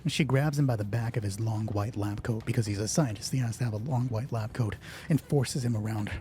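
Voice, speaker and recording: A man's voice: lowish voice, fairly quick, warm tone, a little hiss or hum. A man narrating a solo tabletop roleplay as game master, thinking aloud in a casual, conversational way. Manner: casual, conversational, reasoning through rules aloud.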